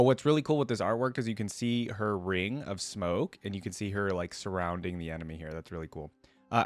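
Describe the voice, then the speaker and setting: A man's voice: lowish voice, no echo. A man hosting a reaction video, chatting casually and enthusiastically in slangy fan talk.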